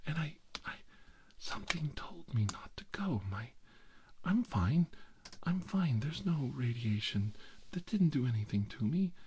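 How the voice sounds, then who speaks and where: A man playing an unhinged, brooding middle-aged scientist villain in a whispered ASMR roleplay, muttering haltingly and repeating himself. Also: a little high, sing-song, background noise, voice pushed up. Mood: anguished, defensive.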